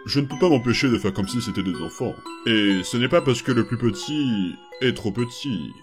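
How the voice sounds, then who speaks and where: A kindly adult skeleton, played by a man, coaxes strange little ones with hesitant, halting patience. His middle-pitched voice swings up and down expressively. It is a fan comic dub with faint music underneath. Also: lively intonation, natural voice. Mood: gentle. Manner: hesitant, soft-spoken, full of small pauses and fillers. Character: gentle patient adult male skeleton caring for strange children.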